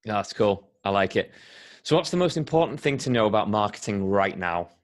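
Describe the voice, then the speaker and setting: A man's voice: lowish voice, expressive, moderate pace. A young man hosting a podcast, asking easygoing questions and encouraging his guest on a video call from a living room. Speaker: podcast host. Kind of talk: casual video call interview.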